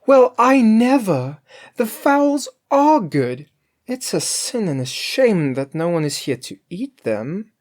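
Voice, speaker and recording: A woman's voice: medium pitch, sing-song, slow pace, a little mellow, pitched above the performer's own voice. A storyteller voicing a cheeky, greedy female cook from a storybook, merry, emphatic and full of excuses for herself. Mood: merry, exclaiming. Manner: emphatic, exclaiming. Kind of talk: storybook character voice.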